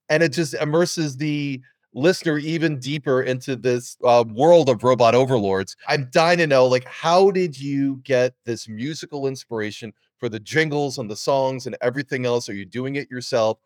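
A middle-aged man with a medium-pitched voice and a dry recording. He hosts a conversational interview, setting up questions in a measured, appreciative manner. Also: a little mellow, no echo. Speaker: podcast host, interviewer.

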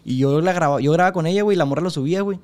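A man's voice: a little high, racing, darkish tone. A man, a podcast guest chatting casually in slangy speech. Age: 20s. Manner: slangy, says "güey" constantly, laughs often. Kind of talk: casual chat.

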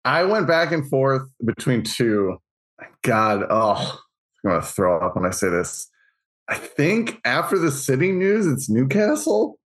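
Halting, self-mocking man on a podcast. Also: medium pitch, big pitch swings, a bit bright. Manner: halting, many restarts, laughs while talking. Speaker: podcast co-host. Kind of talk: casual sports chat.

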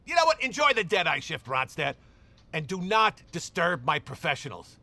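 A snide, bossy male supervisor in an audio drama. His voice is bright and pointed, fairly high and very animated, with condescension throughout. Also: normal speed, gravelly, exaggerated voice.

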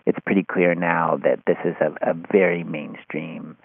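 A man speaking as an interview guest in a thoughtful, halting conversational way, often restarting his phrases. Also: sits low, fairly even intonation, gravelly, husky, dry recording. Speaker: interview guest, writer of an article on podcasts. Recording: phone-like narrow-band sound.

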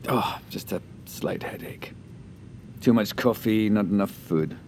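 An actor playing a commanding, persuasive scientist and inventor in a modern audio drama, speaking with smooth control. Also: male voice, fairly low pitch, unhurried, darkish tone, a little hiss or hum. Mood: guarded, insistent.

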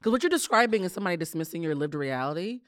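A woman with a big voice chatting on a podcast, quick and lively. Her pitch swings widely as she talks and laughs. The sound is close and dry. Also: no room sound. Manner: animated, laughs often, talks fast. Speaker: podcast host. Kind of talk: casual chat.

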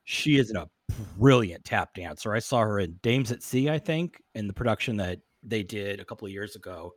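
Animated man, dry close sound. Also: fairly low pitch, moderate pace, no echo. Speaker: podcast guest. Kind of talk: casual interview chat.

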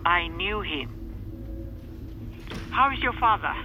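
An actress playing a guarded, stern older Hmong refugee grandmother with a Hmong accent and measured delivery in an audio drama. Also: medium pitch, expressive, narrow, tinny audio, soft background music. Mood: stern, dismissive. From Laos. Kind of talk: audio fiction acting.

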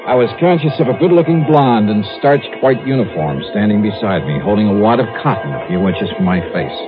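An actor playing a brisk, hardboiled private investigator who wryly narrates his case in 1950s radio style. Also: on the low side, animated, natural voice. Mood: wry narration. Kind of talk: 1950s radio acting and first-person narration. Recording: narrowband old radio drama with music.

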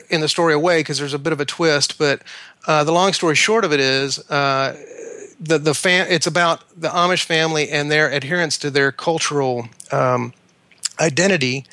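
Author guy on a podcast explaining his writing, bright voice, kinda halting, dry close sound. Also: on the high side, dry recording. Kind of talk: explaining his work in an interview.

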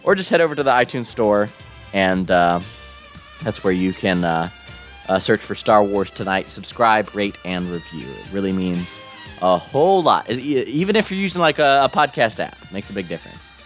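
A young man hosting a live podcast, slightly hoarse, talking casually and upbeat with lots of fillers and restarts. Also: lowish voice, animated, normal speed, faint music behind. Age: young adult. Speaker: podcast host.

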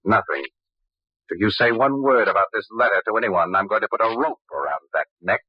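A menacing man in an old radio mystery. His low, husky voice is coolly mocking and sardonic. The recording sounds dull and boxed-in, like an old broadcast. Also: animated, fairly quick, no echo. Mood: coolly threatening. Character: smooth-talking, menacing, sardonic male murder suspect.

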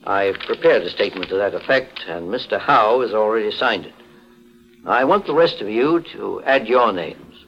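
Low-voiced, courteous, stern investigator. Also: male voice, expressive. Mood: courteous, composed. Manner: measured, formal, authoritative. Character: calm, courteous, stern veteran male private investigator. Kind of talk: 1940s radio detective drama acting. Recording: muffled 1940s radio recording.

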